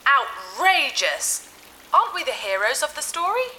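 Bright, excitable childlike AI. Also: female voice, high voice, measured pace, a little hiss or hum, exaggerated voice, rough recording. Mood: excited, indignant. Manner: refers to itself by name, naive, blunt. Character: childlike, excitable ship AI with cheerfully villainous streak. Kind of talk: sci-fi audio drama acting.

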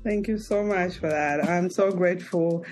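A woman hosts a podcast in a somewhat low voice. She asks her guest friendly, curious questions. Faint music plays underneath. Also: normal speed, darkish tone. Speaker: podcast host. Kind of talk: interview, casual chat.

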